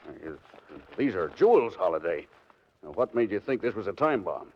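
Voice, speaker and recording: An actor playing a brisk, skeptical police inspector with an authoritative manner in a 1940s radio drama. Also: male voice, low-pitched, very animated. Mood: stern, skeptical. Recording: dull, noisy 1940s radio recording.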